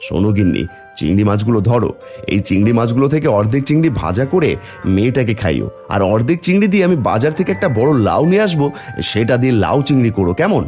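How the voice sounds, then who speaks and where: Man voicing humble cartoon farmer, doting father, deferential, plaintive, lively swings in pitch, mid register. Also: fast talker, faint music behind. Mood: eager, affectionate. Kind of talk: Bengali cartoon fairy tale dubbing.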